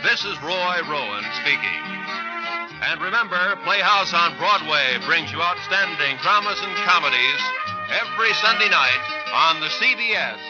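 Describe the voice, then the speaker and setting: A man's voice: fairly high pitch, lively intonation, moderate pace. A man announcing from a script in formal, emphatic 1950s radio style. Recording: muffled vintage 1950s radio broadcast. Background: music.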